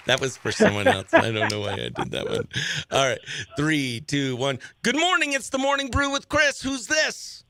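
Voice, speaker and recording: A man's voice: high-pitched, very animated, normal speed, sharp tone, a little hiss or hum. An American man hosting a morning radio show, bantering informally and running call-in games in an upbeat, chummy way. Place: small radio station studio.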